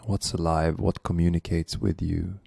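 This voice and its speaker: A man leading a guided meditation as the guide, speaking gently and unhurriedly with long pauses. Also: deep voice, level tone, vocal fry, breathy edge, no echo, studio quality.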